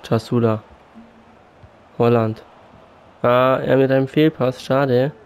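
A man with a cold and a sore throat, calmly commentating his own football video game match. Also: medium pitch, slow, clean tone. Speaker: gaming YouTuber. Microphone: USB condenser mic. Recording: faint game music behind.

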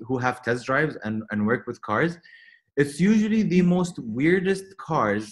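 A man's voice: fairly high pitch, moderate pace. Chatty young man on a video call. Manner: rambling, animated, many restarts. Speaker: car content creator guest. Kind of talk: casual chat on a video call.

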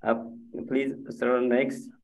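A man's voice: medium pitch, little pitch movement, takes it slowly. A man with English as a second language giving a student presentation over a video call, hesitant with many fillers and restarts. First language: not English. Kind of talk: halting slide presentation. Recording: muffled, echoey video call audio.

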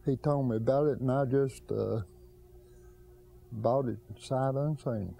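An older man and garage owner answering questions in a plainspoken, hesitant way with many pauses, speaking in a large cluttered garage workshop. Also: mid-range voice, creaky, mellow, slight background noise. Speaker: car restorer, garage owner. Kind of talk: casual interview answers.

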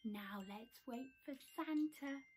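A woman's voice: sits high, slow, a little mellow, slightly rough, heavily acted. A woman voicing an eager, hopeful young male bear in a children's story, exclaiming cheerfully and insistently. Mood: cheerful, sure.

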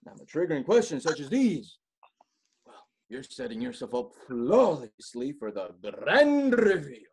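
A man performing a theatrical comedic monologue as a pompous, melodramatic amateur writer with a husky voice and dramatic pauses. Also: fairly high pitch, a little hiss or hum, full character voice. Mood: conspiratorial, smug. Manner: smug, animated, chuckling, dramatic pauses.

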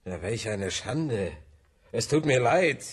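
A man's voice: mid-range voice, lively intonation, takes it slowly, husky. Snappish, haughty male student. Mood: irritated, sarcastic. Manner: snappish, sarcastic. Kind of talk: German radio drama acting.

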